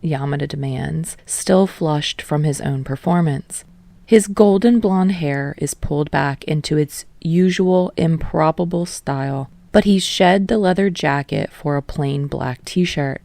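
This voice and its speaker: A woman narrates a story in an even, unhurried way. Her voice sits very low and has a gravelly edge. The sound is close and dry. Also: no room sound. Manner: calm, steady narration. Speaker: podfic reader. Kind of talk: reading fan fiction aloud. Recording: dry close home recording.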